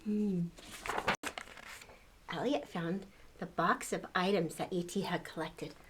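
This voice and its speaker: A woman's voice: on the low side, slow pace, noisy background. An older woman reading a storybook aloud to children, expressively, with exclamations and questions to the listener.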